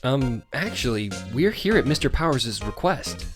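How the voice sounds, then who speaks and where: A woman's voice: extremely low pitch, very animated, moderate pace. A woman playing an earnest, dry-witted young male rookie lawyer in a video game fan dub. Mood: earnest, probing. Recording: music behind the voice. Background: music.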